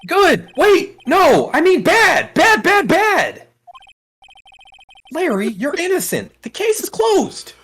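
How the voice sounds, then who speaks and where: A woman's voice: extremely high pitch, wildly swooping pitch, slow pace, a bit bright, exaggerated voice. A woman voicing a nervous, earnest young male rookie defense lawyer in a video game. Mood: exasperated, flustered. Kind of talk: video game dub with inner narration.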